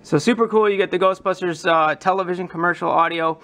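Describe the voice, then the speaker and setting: A man's voice: high voice, fast, fairly bright tone. A man presenting a prop modding demonstration in an informal, enthusiastic, casual explanatory way. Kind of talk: casual explanatory hobby vlog.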